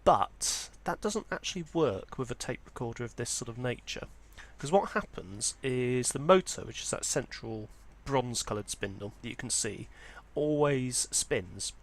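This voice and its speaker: A man's voice: mid-range voice, lively intonation, normal speed, few pauses. A man presenting an informal, unscripted walkthrough as a hobbyist, explaining things in a hesitant, hedging way. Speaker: presenter of a vintage audio hobby channel.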